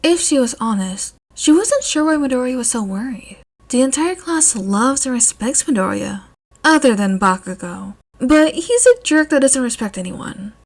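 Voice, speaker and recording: A woman's voice: high voice, lively intonation, normal speed. A woman reading scripted fiction aloud as an audiobook-style narrator. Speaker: podfic narrator.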